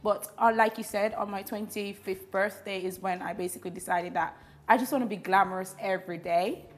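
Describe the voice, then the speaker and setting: A woman's voice: medium pitch, fairly even intonation, fairly quick, faint music behind. Bubbly woman, laughs often. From Jamaica. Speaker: guest, vintage fashion blogger. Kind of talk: casual morning TV interview. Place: TV studio.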